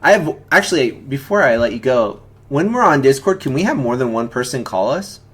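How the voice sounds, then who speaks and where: A man's voice: medium pitch, animated, a little fast. A man hosting a live stream, chatting in a loose, laid-back and rambling way. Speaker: host of a movie commentary live stream. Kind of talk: casual chat to viewers and caller.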